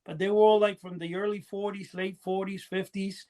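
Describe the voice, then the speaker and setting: A man's voice: high voice, level tone, normal speed, darkish tone. An older man from Cuba chatting and telling stories as a podcast host, in a rambling, self-interrupting way. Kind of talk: casual conversational storytelling.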